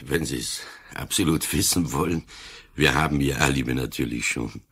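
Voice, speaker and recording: Very deep, husky old inspector. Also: male voice, normal speed, a bit bright, full character voice. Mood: dry, matter-of-fact. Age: older. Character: shrewd old police inspector, dry and patient. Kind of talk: 1980s radio play acting.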